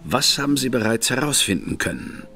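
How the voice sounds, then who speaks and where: An actor playing an inquisitive male gentleman detective in a radio drama, speaking in clipped questions. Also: mid-range voice, big pitch swings, fairly quick, soft background music.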